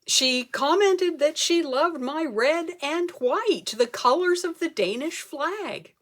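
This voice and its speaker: A woman performing a comic story as a speech, theatrical, with dramatic pauses and emphasis. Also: fairly high pitch, a bit bright. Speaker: club speaker telling a humorous tall tale.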